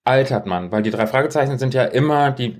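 A man in an easygoing podcast conversation. His mid-pitched voice is conversational and playful, with a teasing edge as he banters with his co-host. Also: brisk. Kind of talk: casual, bantering chat with a co-host.

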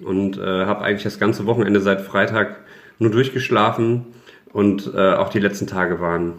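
Man with a cold, low voice, roomy sound. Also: fairly even intonation, normal speed. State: has a cold. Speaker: podcast co-host. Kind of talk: casual chat.

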